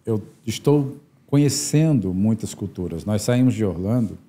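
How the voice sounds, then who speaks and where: Male host, low pitch, very dark warm tone, affirming. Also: expressive, normal speed. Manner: affirming, reflective, short agreements. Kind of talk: casual interview.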